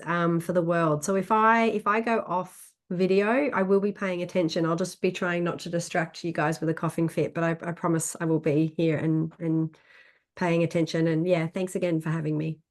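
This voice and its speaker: A woman introducing herself as a competition judge on a video call, upbeat and warm, with some fillers. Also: lowish voice. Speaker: competition judge, business executive.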